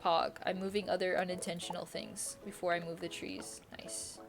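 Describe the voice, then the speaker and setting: A woman's voice: fairly low pitch, flat delivery, normal speed. A woman live streaming a game, thinking aloud in a casual, rambling, indecisive way and repeating herself. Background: quiet music.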